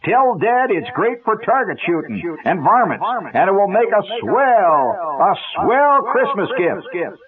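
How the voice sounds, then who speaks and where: An American man voicing a hearty, insistent cowboy hero who gives a folksy sales pitch, as part of a radio story. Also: very animated, unhurried, hardly any pauses, a crowd in the background. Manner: booming, folksy, hard-selling. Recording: muffled phone-like old radio recording.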